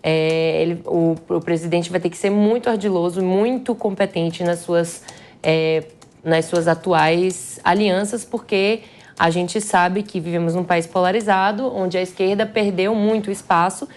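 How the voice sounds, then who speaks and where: A woman's voice: fairly low pitch, fairly even intonation, unhurried, a little mellow. A woman with a Brazilian accent giving live political commentary on a panel.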